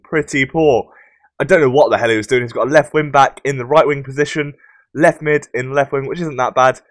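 A young man hosting casual game commentary, his voice croaky and rough from a sore throat, chatty and upbeat. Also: fairly high pitch, dry recording. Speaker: gaming channel host.

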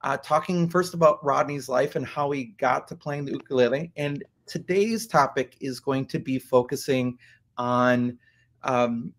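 A man's voice: medium pitch, a little slow. A middle-aged man hosting an interview podcast on a video call, introducing his guest and asking questions. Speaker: podcast host. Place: indoor studio with blue backdrop.

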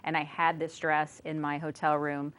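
A woman in her forties with a low voice, telling a story with real enthusiasm. She is a guest teacher on a small studio interview show. A lapel mic picks her up clearly. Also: monotone, normal speed, fairly bright tone.

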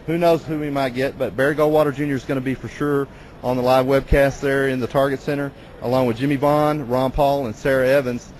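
A middle-aged American man co-hosts a live webcast in a casual way. He speaks quickly at an average male pitch into a handheld mic, with clear background noise from a backstage area. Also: fairly even intonation, a little mellow, rough recording. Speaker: webcast interviewer and co-host. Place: large concrete backstage area indoors. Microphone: handheld microphone.

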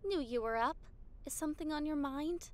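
A woman's voice: high-pitched, moderate pace, close to an everyday voice. Woman voicing a playful, teasing schoolgirl. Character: teasing, doting schoolgirl roommate. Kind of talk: amateur anime fan dub.

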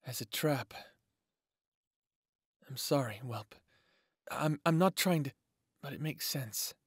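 A man's voice: medium pitch, takes it slowly, slightly rough, no echo, clean studio sound, exaggerated voice. An actor playing a considerate, easygoing male werewolf in an audio drama, with a conversational delivery. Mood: thoughtful.

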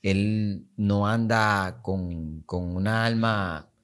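A man's voice: fairly low pitch, steady tone, extremely slow, fairly bright tone. A man in his forties with a Dominican Spanish accent, talking emphatically and repeating his points as a podcast guest. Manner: emphatic, repetitive, gestures while talking. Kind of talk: podcast conversation. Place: small studio set. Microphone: studio mic on boom arm.

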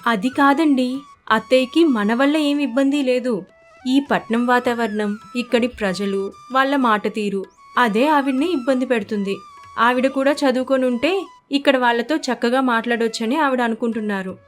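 A woman voicing a considerate young daughter-in-law in an animated story, polite, coaxing and concerned. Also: fairly high pitch, normal speed, lightly acted. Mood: explaining. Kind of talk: animated moral story dialogue. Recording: faint music bed behind the voice.

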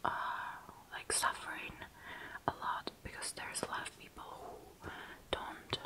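A young woman from Russia with a Russian accent, whispering softly and closely as an ASMR host answering viewer questions, with pauses and fillers. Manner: soft whisper, close to the mic, halting with fillers. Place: small dark room indoors.

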